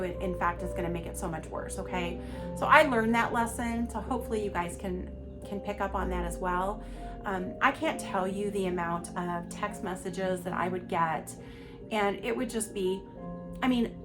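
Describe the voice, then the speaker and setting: Woman host, middle-aged, stuffy from a cold, blunt and emphatic, over clear background music. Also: mid-range voice. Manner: candid, emphatic, repeats words for stress. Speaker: podcast host. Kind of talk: personal monologue on abuse recovery.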